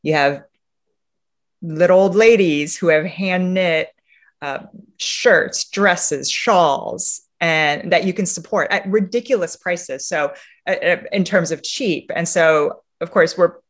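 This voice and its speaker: Woman from romania chatting in an interview, thinks out loud, kinda halting, keeps rewording stuff. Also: on the low side. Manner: reflective, halting, often rephrasing mid-sentence. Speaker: interview guest, sustainability leader. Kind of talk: conversational interview answers, telling travel anecdotes.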